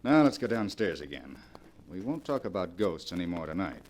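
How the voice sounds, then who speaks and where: An actor playing a scheming, debt-ridden gambler nephew in 1940s radio mystery style, with insinuating, deliberate delivery. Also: male voice, deep voice, very animated, a little mellow. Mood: amused, menacing. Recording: noisy old 1940s radio recording.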